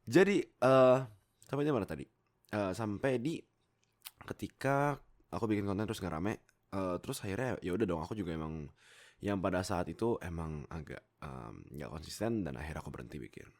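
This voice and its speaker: Low-voiced young Indonesian man chatting casually. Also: expressive, fairly quick. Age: young adult. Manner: self-deprecating, rambling and digressive. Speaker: YouTube content creator and amateur voice actor. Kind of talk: casual chatty personal storytelling. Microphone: Fifine K690 desktop USB microphone.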